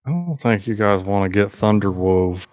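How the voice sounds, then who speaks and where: Low-ish voiced guy joking around during a tabletop game, dry sound. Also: lively intonation, a little mellow, dry recording. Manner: jokey, quick asides. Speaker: player.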